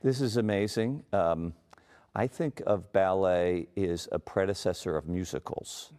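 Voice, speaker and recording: A man's voice: sits low, a little slow, warm tone, clear, resonant tone. A middle-aged man guest on a TV morning show, speaking sincerely and reflectively as a parent. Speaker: parent and ballet creator. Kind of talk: TV interview.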